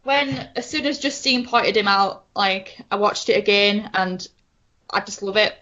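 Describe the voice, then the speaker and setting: A woman co-hosting a fan podcast, talking in rambling, excitable bursts. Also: mid-range voice, a little slow. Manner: rambling, restarts sentences, excitable. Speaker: podcast co-host. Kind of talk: casual fan chat. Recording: dull lo-fi audio.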